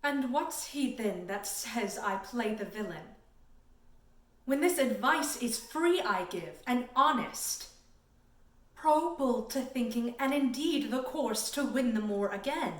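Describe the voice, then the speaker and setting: A woman's voice: fairly high pitch, animated, slow pace. A woman performing a Shakespearean soliloquy as a scheming, gloating male villain, with sly, theatrical emphasis. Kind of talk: classical Shakespearean stage soliloquy. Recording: roomy echo, distant microphone.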